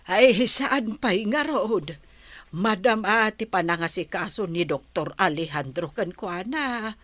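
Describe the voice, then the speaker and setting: A woman's voice: mid-range voice, animated, takes it slowly, a bit bright, no echo. A woman playing a worried, apologetic elderly grandmother in a radio drama.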